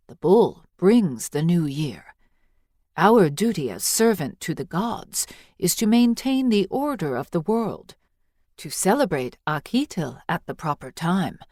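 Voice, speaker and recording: A woman voicing a wise, patient old priestess in an audiobook, measured and instructive with gentle teasing. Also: on the low side, dry recording, studio quality. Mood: solemn, instructive. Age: older.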